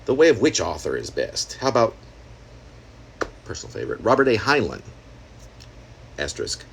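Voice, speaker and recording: A man's voice: on the low side, wildly swooping pitch, moderate pace, gravelly, a little hiss or hum. A man reading his own experimental prose poem aloud in a conversational, wry manner, slipping in asides and rhetorical questions. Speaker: poet reading own work.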